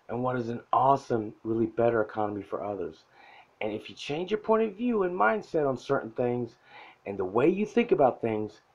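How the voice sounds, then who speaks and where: A soft-spoken man giving a blunt, profane, pushy motivational talk straight to the camera as a self-help vlogger. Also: mid-range voice, dull audio. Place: indoor room at a whiteboard. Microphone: phone camera mic.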